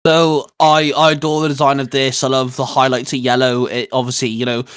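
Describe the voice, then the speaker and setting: A man in his twenties with a medium-pitched voice, recorded dry. He co-hosts a casual geeky discussion and explains his opinions in an enthusiastic, rambling way. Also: level tone, a little fast, a bit bright, smooth, no echo. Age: 20s. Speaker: co-host of a fan discussion show. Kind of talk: casual geeky review chat.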